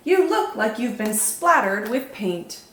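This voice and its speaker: A woman's voice: a little high, sing-song, unhurried, fairly bright tone, far from the mic. A cocky, taunting pumpkin from a children's tale, acted out by a female narrator. The delivery is sing-song and mocking, with audible room echo and slight noise behind it. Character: smug, teasing young pumpkins mocking another.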